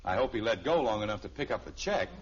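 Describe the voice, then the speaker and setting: Animated, wisecracking American dad, played by a man. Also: on the low side, fast talker, lightly acted. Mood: wry, sarcastic. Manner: sarcastic, animated, blustering. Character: exasperated, wisecracking middle-aged sitcom father. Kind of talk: 1950s radio sitcom acting. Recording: dull, noisy vintage radio recording.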